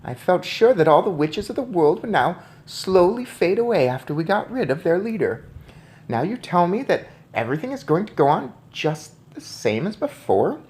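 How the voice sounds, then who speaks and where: A man voicing an eager, excitable young boy who has become a mouse, questioning and exclaiming urgently in a storybook. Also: sits high, moderate pace. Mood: upset.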